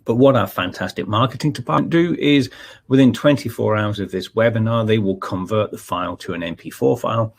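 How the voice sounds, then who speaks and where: Scottish man, middle-aged, very low gravelly voice, husky tone, webinar host, chatty with ums and restarts. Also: fairly quick. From Scotland. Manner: conversational, many fillers and restarts. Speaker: webinar presenter, technical manager. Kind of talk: live webinar presentation.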